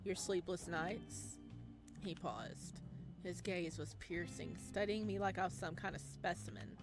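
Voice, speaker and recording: A woman's voice: on the low side, moderate pace. A woman playing a story game, reading its text aloud and adding her own reactions, with game music behind her. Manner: reacts aloud between read lines. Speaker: game player reading a visual novel aloud. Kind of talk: game playthrough, reading dialogue and narration aloud.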